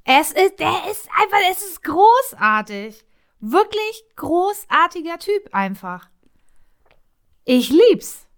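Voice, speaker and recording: A woman's voice: very high-pitched, sing-song, takes it slowly, a bit bright. A woman co-hosting a casual chat, enthusiastic and teasing. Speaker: podcast co-host.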